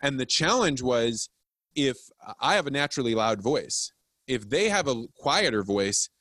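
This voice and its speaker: A man hosts a casual podcast in a medium-pitched voice. He explains things in a conversational, advice-giving way. The sound is dry, with very little room on it. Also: very animated, measured pace, pushed and bright, dry recording. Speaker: podcast host.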